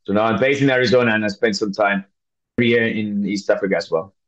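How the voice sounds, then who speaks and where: A man's voice: fairly low pitch, fairly even intonation, brisk, dull audio. A man from Finland with a Finnish accent, answering conversationally as an interview guest. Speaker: podcast guest, scientist.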